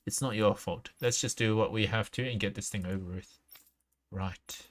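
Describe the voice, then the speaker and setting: A man livestreaming a video game, reading dialogue aloud haltingly and dropping in his own reactions. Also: lowish voice, fairly even intonation. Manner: reads on-screen text aloud haltingly, interjects reactions. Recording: clearly noisy mic with clicks.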